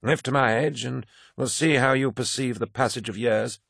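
A man voicing an old male veteran warrior who rambles and lectures in a folksy, gently patronizing way, with a husky voice. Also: medium pitch, steady tone, normal speed, no room sound, clean studio sound, voice pushed up. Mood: folksy lecturing. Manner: folksy, lecturing, calls listener boy.

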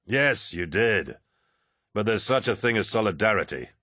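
A man's voice: on the low side, lively intonation, moderate pace, sharp tone, dry recording, clean studio sound. A man voicing a steadfast, grounded warrior captain in a restrained, plain-spoken way. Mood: reproachful.

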